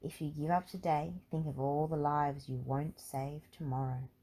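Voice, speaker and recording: A woman's voice: sits low, little pitch movement, moderate pace, dark tone. A woman voicing a stern, commanding male aircraft who leads a firefighting crew in a children's storybook, speaking tersely. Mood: firm, encouraging.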